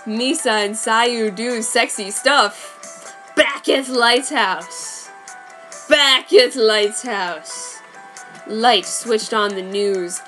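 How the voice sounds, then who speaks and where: A woman giving a comedic dramatic reading of fan fiction, mock-serious, with sudden loud outbursts. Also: on the high side, extremely slow, faint music behind. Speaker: reader.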